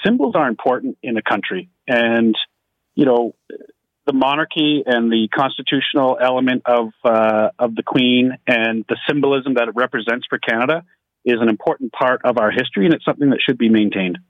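A man makes his point on a radio panel in a measured, earnest way. His voice is mid-pitched and comes through dry and narrow over a phone line. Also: no echo. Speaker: author, history teacher. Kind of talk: panel debate.

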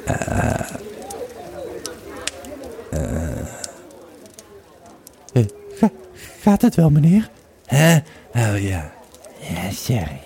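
An actor playing an old, tipsy Roman legionary who haltingly recounts his frightening tale in an audio drama, stammering and losing his thread. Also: lowish voice, huge pitch swings, gravelly, dark tone, heavily acted. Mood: confused, startled. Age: old man as played. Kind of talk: first-person audio drama storytelling.

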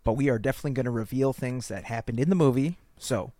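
A man's voice: medium pitch, fast talker, dark tone, no echo. Easygoing male podcast co-host, dry. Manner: laughing, easygoing. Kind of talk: casual chat.

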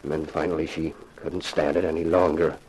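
A man's voice: a bass voice, level tone, a little mellow, husky. An actor playing a grave, formal, wealthy father hiring a detective in a 1950s radio drama. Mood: bitter, sorrowful. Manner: formal, measured, solemn phrasing.

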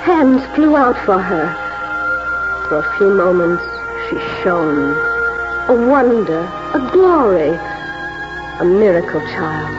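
An actress playing a reflective, knowing mother in a 1940s radio drama, with a measured, poetic delivery. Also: on the low side, very animated, over music. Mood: wistful, awed. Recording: dull vintage radio, loud musical underscore.

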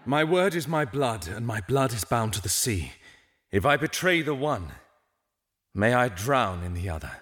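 An actor playing a formal gentleman testifying under oath in a fantasy audio drama, measured and declamatory. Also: mid-range voice, lively intonation, natural voice. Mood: solemn, formal. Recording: long echoing hall reverb.

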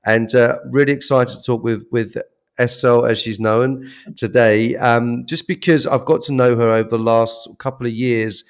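A man's voice: medium pitch, fairly even intonation, normal speed, smooth. A man hosting a podcast, introducing his guest with enthusiasm and many repeated words and fillers. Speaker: podcast host.

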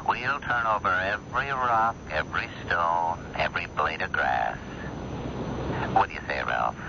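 An actor playing a gruff, blunt, needling police inspector in a radio mystery drama. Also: male voice, deep voice, unhurried. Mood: pressing. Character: gruff, persistent male police inspector on a murder case. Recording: dull, noisy vintage radio broadcast recording.

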